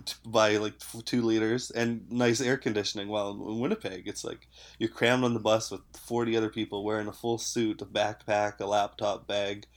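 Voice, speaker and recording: A man from Canada co-hosting a casual podcast, chatty and quick to laugh, his voice stuffed up from a cold. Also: on the low side, flat delivery. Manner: chatty, laughs often, rambling with fillers. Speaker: podcast host.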